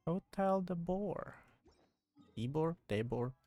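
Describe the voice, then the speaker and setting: A casual game streamer rambling playfully at an unhurried pace. The pitch swings widely from low to high, recorded close and dry with faint music behind. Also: lively intonation, warm tone, no echo. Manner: rambling, playful, repeats phrases to self. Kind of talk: casual livestream chat while gaming.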